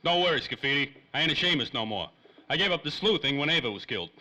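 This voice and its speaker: Guy doing a tough old-time radio gumshoe, really sharp forceful voice, talks fast, slangy and menacing. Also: on the high side, lively intonation, exaggerated voice, low-quality recording. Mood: gruff, weary. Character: tough, menacing hard-boiled former private detective. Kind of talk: 1930s old-time radio crime drama acting.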